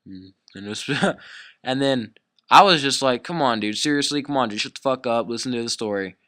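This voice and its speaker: Young male YouTube storyteller, clear tone, very dry sound; casual, sweary, loosely rambling delivery. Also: mid-range voice, animated, moderate pace, sharp tone, no room sound. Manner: profane, slangy, rambling.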